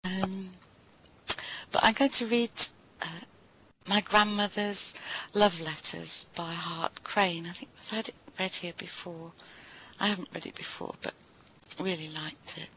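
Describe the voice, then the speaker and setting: Bright-voiced woman reading poetry slowly. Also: on the low side, steady tone, poor audio. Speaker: poetry reader at a live event. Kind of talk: poetry recital with brief informal introductions.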